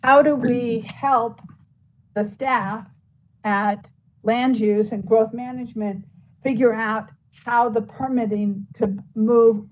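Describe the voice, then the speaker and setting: A woman's voice: a little high, lo-fi sound, a little hiss or hum. Rambling woman commissioner at a meeting. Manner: rambling, heavy use of "you know, like". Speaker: county commissioner. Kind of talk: public meeting discussion. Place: meeting room.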